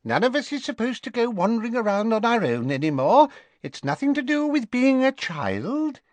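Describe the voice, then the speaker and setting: A man voicing a fussy, flustered older woman who runs a robe shop, in a high, fluttery voice. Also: big pitch swings, normal speed, no room sound. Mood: coaxing.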